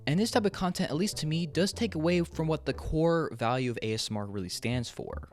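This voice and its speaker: A young man narrating an opinion video essay in a conversational, frank and emphatic way, sometimes swearing. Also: mid-range voice. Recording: music under the voice. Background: background music.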